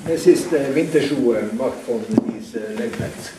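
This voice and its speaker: A man interpreting for a tour group, halting and hesitant, filling pauses as he searches for words. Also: mid-range voice. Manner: hesitant, many fillers and restarts. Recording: echoey room from far off with faint noise.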